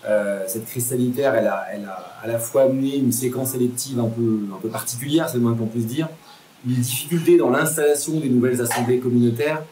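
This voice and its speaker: A man's voice: mid-range voice, a little fast, dark tone. A man giving formal expert answers in an interview as an association president, emphatic and rephrasing as he explains. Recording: roomy echo, faint background noise.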